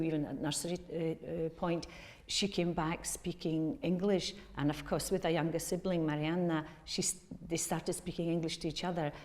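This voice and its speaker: A middle-aged woman from Poland whose first language is Polish, speaking reflectively and hesitantly in an interview, with frequent pauses. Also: deep voice, darkish tone.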